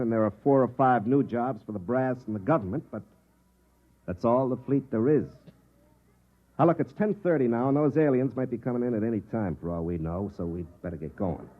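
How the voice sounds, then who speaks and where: An actor playing a cynical, hard-drinking army space captain in a 1950s radio drama, world-weary and sardonic. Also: male voice, mid-range voice, a little fast, narrow, tinny audio, natural voice. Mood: blunt, impatient. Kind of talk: 1950s radio drama acting with first-person narration. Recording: band-limited 1950s radio recording with music.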